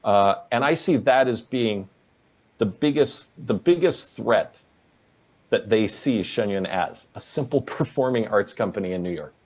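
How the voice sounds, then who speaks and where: A man from Oregon answering interview questions as a guest, in an earnest, measured way. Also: fairly low pitch, a little mellow. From Portland, Oregon, USA.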